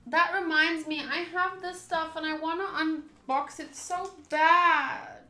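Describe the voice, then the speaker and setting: A woman's voice: extremely high pitch, steady tone, slow pace, sharp tone. A woman streaming a game, reading the story aloud and adding casual commentary. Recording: roomy echo, faint game music behind.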